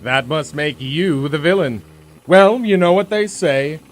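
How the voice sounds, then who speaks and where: A man's voice: a little high, slight background noise, natural voice. An actor playing a grandiose, taunting male villain in an audio drama, drawing out his words with menace. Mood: gloating. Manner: theatrical, taunting, drawn-out delivery.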